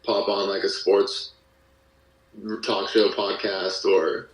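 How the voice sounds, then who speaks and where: A man with a lowish voice chats as an interview guest in a halting, hesitant manner. He sounds set back from the mic in a very echoey room. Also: level tone. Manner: hesitant, halting delivery with frequent hedging. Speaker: podcast guest, musician and creator of an audio drama. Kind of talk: casual interview chat. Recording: roomy echo, distant from the mic.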